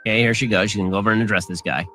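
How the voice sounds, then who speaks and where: Fast, bright-voiced male commentator. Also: on the low side, fairly even intonation, faint music behind. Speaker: commentator in a played clip.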